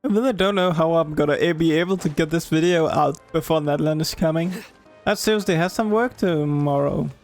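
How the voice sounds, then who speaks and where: A man's voice: fairly high pitch, a little fast. A man giving casual gameplay commentary as a video creator. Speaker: game streamer. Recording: game music behind the voice.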